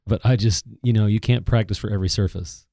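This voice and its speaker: Very low-voiced easygoing man. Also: steady tone, quick pace, dark tone, no echo, studio quality. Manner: easygoing, laughs often. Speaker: podcast co-host. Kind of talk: casual chat.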